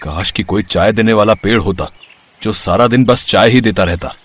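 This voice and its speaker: A man's voice: on the low side, moderate pace, lightly acted. A man playing an exasperated poor village labourer husband, complaining and exclaiming in a bedtime story. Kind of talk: acted dialogue in a bedtime story.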